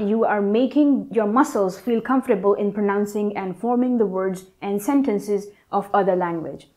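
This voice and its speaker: A woman's voice: a little high, a little mellow. Earnest young woman, native Urdu speaker, explaining. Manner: earnest, emphatic, gestures with hands. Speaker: language tips vlogger. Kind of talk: explanatory talk to camera. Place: indoor room with curtains. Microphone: lapel mic.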